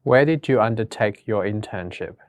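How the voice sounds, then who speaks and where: Male interviewer, lowish voice, dry sound, plain scripted questions. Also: expressive, moderate pace, dry recording. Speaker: interviewer and announcer. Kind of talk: scripted questions and title read.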